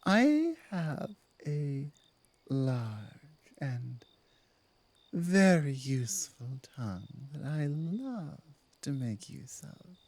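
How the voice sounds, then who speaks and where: A woman voicing a dominant but softened female werewolf pack leader in an intimate ASMR roleplay, slow, breathy, apologetic and teasing. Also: low voice, dry recording, pitched below the performer's own voice, heavily acted.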